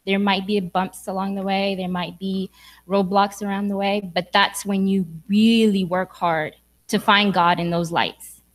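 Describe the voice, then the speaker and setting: A woman's voice: medium pitch, little pitch movement, a little slow. A young woman from Sudan giving an earnest, encouraging speech to graduates in a church hall. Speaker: graduation speaker.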